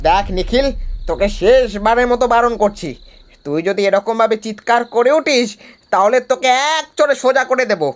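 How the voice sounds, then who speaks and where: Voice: mid-range voice, moderate pace, lightly acted. An actor playing a practical young man in a horror cartoon, teasing and reproachful with his friends. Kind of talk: animated horror cartoon dialogue.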